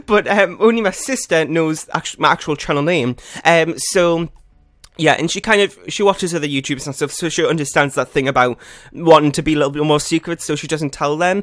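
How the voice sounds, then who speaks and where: A young man with a croaky morning voice, rambling casually and quickly with lots of fillers as he narrates a game build from his bedroom at home. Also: a little high, expressive, a bit bright, dry recording, studio quality. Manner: fast, rambling talk with many fillers.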